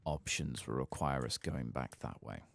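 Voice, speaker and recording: Man, very low gravelly voice, soft ASMR game commentary, unhurried, close dry mic. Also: no echo. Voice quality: soft, low-volume voice, sometimes dropping to a whisper. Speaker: gaming ASMR channel host. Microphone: close, dry microphone.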